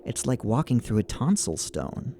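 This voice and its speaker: A man reading a dark story aloud in a dry, deadpan way. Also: medium pitch, moderate pace, a little hiss or hum. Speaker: reader.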